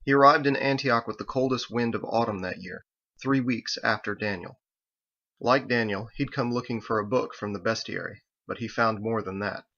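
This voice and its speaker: A man's voice: on the low side, normal speed, sharp tone. A man reading scripted audiobook narration as the author of the story, on a slightly lo-fi recording. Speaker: author reading his own book.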